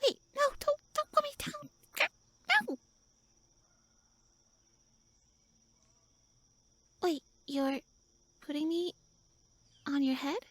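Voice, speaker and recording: A woman playing a pouty tsundere elf shrunk to pixie size in a roleplay audio, haughty, flustered and halting as she speaks to the listener. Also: high-pitched, big pitch swings, no echo. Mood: panicked.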